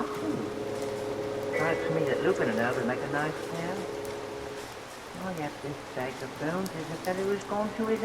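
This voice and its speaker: An actor playing a nervous, cheeky hired servant, jittery and wisecracking, in a comic vintage radio melodrama. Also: male voice, on the high side. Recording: roomy noisy vintage radio, heavy rain.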